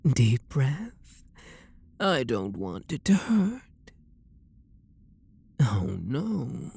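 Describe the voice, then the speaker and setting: A man with a British accent playing a refined, possessive and seductive vampire lord in an intimate ASMR roleplay, speaking softly and coaxingly with a breathy, husky voice. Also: mid-range voice, heavily acted. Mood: soothing, tender. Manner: soft, coaxing, flirtatious, unhurried.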